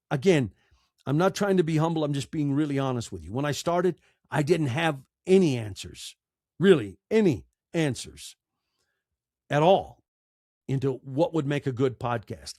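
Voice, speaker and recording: A man in his sixties with an American accent, giving reflective, earnest interview answers in short, emphatic, deliberate phrases. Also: on the high side, darkish tone, no echo, clean studio sound. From United States. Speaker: podcaster and storyteller, interview guest.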